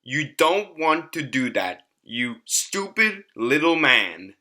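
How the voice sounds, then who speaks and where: A disdainful, haughty shapeshifter, voiced by a man in an audio drama. The voice sits somewhat raised in pitch and is scornful and dismissive toward those it addresses. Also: very animated, slow pace, pushed and bright, full character voice. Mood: menacing scorn.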